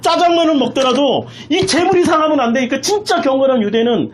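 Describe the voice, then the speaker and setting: Animated, emphatic preacher delivering a sermon. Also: high voice, fairly quick, a crowd in the background. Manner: emphatic, repeats phrases, raises voice. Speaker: pastor preaching a Sunday sermon. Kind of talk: impassioned sermon with rhetorical questions.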